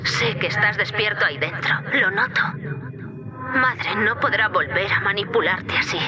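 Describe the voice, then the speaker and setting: An actress playing a tender, pleading teenage daughter in a video game, intimate in manner. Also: female voice, medium pitch, big pitch swings, moderate pace, natural voice. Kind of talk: dramatic video game dialogue. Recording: music under the voice.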